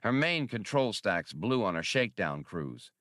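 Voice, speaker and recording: A man's voice: fairly low pitch, big pitch swings, normal speed, fairly bright tone, no room sound, studio quality. A man voicing a thin, solemn alien scholar in an audiobook, speaking formally and earnestly. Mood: solemn, explaining. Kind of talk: audiobook character reading.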